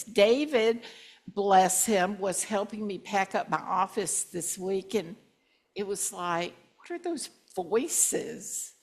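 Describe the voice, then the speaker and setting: A woman in her sixties, a minister reminiscing to her congregation with gentle humor in a church. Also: mid-range voice. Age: 60s. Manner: slow, warm-humored reminiscing with asides. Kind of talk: reflective sermon with congregation sharing. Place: church sanctuary.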